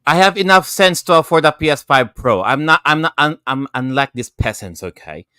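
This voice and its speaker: A man giving casual panel commentary on a gaming show in an emphatic, halting way. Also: on the high side, animated, pushed and bright, clean tone, no echo, clean studio sound.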